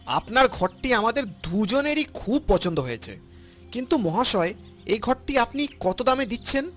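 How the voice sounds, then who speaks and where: A man's voice: very high-pitched, sing-song, normal speed, fairly bright tone, faint music behind, exaggerated voice. A man voicing a principled older father in a cartoon, earnest and preachy as he admonishes. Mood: earnest, kindly. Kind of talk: cartoon voice acting.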